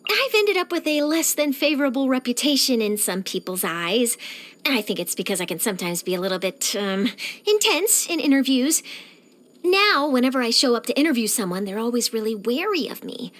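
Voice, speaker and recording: Eager high-pitched young girl reporter. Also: a little fast. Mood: slightly sheepish. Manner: animated, chatty, expressive, theatrical delivery. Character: young female newspaper reporter, eager, curious, nosy, cheerful. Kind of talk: scripted video game character voice lines.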